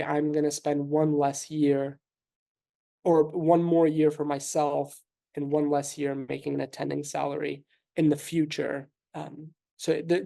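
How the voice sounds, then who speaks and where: A man in his thirties from New York City whose first language is Russian, a physician guest answering interview questions in a thoughtful, measured way with frequent fillers and restarts. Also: a little high, creaky, warm tone.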